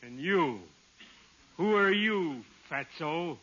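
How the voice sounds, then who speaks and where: A man's voice: fairly high pitch, animated, takes it slowly. An actor playing a threatening male gunman who hates radio detectives, in a 1940s radio comedy sketch. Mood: menacing. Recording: muffled hissy 1940s radio transcription.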